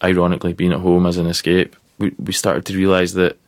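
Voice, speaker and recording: A man in his thirties with a Glasgow accent, a musician chatting casually in an interview, rambling and relaxed with lots of fillers. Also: sits low, fast, dry recording, studio quality. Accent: Glaswegian. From Scotland. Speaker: musician guest.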